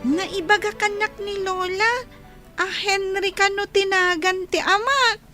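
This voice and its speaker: A woman's voice: extremely high pitch, steady tone, a little slow, loud music behind the voice. A woman voicing a curious, innocent young child with an Ilocano accent, questioning the grown-ups in a radio drama. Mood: curious, wistful.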